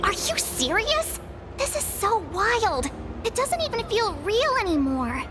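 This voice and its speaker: A woman voicing an excitable, cheerful young girl in an anime-style game, exclaiming and chatting brightly. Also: very high voice, unhurried, loud music behind the voice, full character voice. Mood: amazed.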